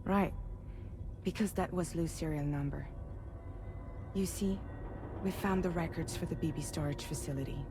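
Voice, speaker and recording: An actress playing a composed, caring investigator in a video game, giving a serious, measured briefing. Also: female voice, low-pitched, loud music behind the voice. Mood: serious, explaining.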